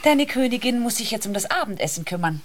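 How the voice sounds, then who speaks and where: An actress playing a bossy, teasing wife in a radio drama. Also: female voice, a little high, animated, fast talker, slight background noise, lightly acted. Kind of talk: German radio drama acting.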